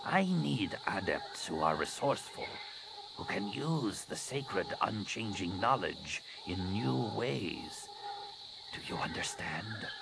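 Man voicing a slow, stern female alien master. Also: low voice, big pitch swings, full character voice. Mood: probing, grave. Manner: slow, hushed, deliberate, imperious. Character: stern female alien master scientist nearing middle age. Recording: clearly noisy recording.

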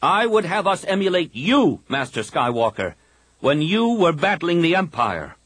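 A man voicing a defiant young male Jedi pilot, with an insistent, forceful delivery. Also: fairly high pitch, very animated, normal speed, husky, no echo, voice pushed up, full character voice.

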